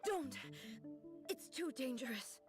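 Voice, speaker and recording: Slow, high-voiced regal warrior. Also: female voice, animated. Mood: strained, weary. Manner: formal, measured. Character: stoic, dignified warrior shogun, proud but weary woman. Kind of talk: anime game voice acting. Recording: music behind the voice.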